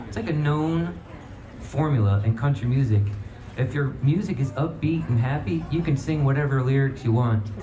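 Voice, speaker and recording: A middle-aged American man talks to a festival audience as a performing musician between songs. His delivery is casual and folksy, rambling along in an upbeat storytelling way. The live stage sound is echoey, with loud banjo music in the recording. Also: medium pitch. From Virginia, United States.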